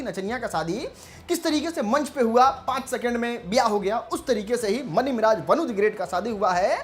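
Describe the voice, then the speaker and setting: A woman giving informal gossip commentary to viewers in a dramatic, emphatic, teasing manner. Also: on the high side. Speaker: commentary channel host.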